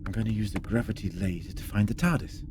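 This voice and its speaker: An actor playing an eccentric, quick-thinking time-travelling scientist in a sci-fi audio drama, thinking aloud. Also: male voice, close to an everyday voice. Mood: focused, musing. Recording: noisy with low rumbling hum.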